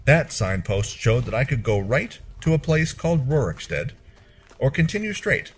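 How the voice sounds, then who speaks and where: A man narrating a first-person fantasy story in a measured, expressive storyteller's delivery. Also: medium pitch, a little hiss or hum.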